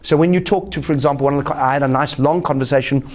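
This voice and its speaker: A middle-aged South African man, a comedian and interview guest, telling animated anecdotes with sudden jumps in pitch. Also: rapid-fire. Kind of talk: casual interview storytelling.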